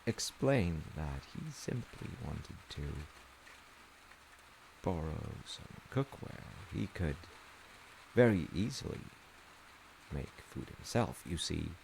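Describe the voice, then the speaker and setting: Deep, gravelly male storyteller. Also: a little mellow, poor audio. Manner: wry, conversational, hesitant asides. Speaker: storyteller in an audio roleplay, playing a dad. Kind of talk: casual bedtime folktale storytelling. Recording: hissy recording with rain noise. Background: rain and hiss.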